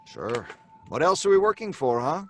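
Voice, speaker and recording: A man playing a troubled middle-aged husband and father in a live radio play, talking in a halting, uneasy way. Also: on the high side, sing-song. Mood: cheerful. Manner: halting, uneasy, with nervous laughs.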